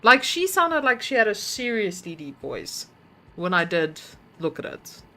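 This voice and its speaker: A woman's voice: medium pitch, big pitch swings, measured pace, pushed and bright. A woman streamer casually commenting on her game, muttering to herself while solving puzzles and chatting with viewers.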